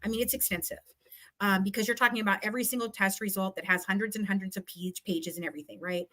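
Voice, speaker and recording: A woman's voice: mid-range voice, fairly even intonation, quick pace, bright, forceful tone. A woman giving heated, combative commentary in a casual live chat, repeating phrases for emphasis. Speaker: guest.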